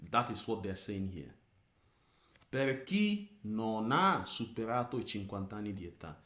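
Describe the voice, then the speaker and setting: A man teaching driving theory, reading out Italian rules and explaining them slowly in English with lots of repetition. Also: lowish voice, sing-song, a bit bright, reverberant. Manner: reads Italian text then explains it in English, repeating terms. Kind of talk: slow explanatory lesson.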